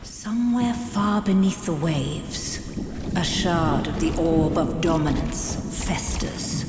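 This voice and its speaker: A woman playing a grave, dramatic storyteller, narrating fantasy game cutscenes in a measured, portentous way. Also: deep voice, very noisy. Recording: roomy, long reverb, music bed. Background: clear background music.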